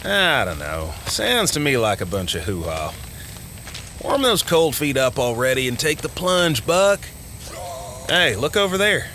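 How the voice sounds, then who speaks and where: An actor playing a chatty, teasing, excitable small-town American deputy with a folksy, colloquial manner in an audio drama. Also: male voice, medium pitch, unhurried, a little hiss or hum. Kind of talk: full-cast audio drama acting. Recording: music bed under the dialogue.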